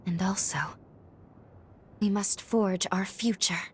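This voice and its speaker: A woman playing a gentle, dutiful young heroine in a video game, speaking softly in formal, earnest, measured phrases. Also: mid-range voice, fairly even intonation, a little hiss or hum, close to an everyday voice. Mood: quiet, resolute. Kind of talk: dramatic video game cutscene acting. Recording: game music behind the voice.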